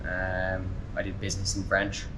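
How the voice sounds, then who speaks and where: Young Irish man, twenties, interview guest; low-pitched, easygoing, filler-heavy delivery; noisy recording with rumble. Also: moderate pace. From Ireland. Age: 20s. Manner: relaxed, frequent fillers like "um" and "like". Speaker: traveler guest. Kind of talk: casual conversational interview.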